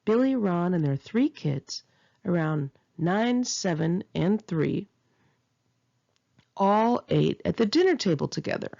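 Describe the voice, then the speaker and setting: Female memoir narrator, confessional and emphatic, lively intonation with a creaky edge, modest lo-fi sound. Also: on the low side, takes it slowly. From United States. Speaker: author reading own memoir. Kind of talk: memoir audiobook reading.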